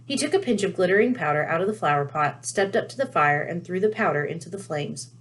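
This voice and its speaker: A woman's voice: fairly low pitch, animated, fast talker, a bit bright. A woman narrating a children's novel aloud as a read-aloud storyteller.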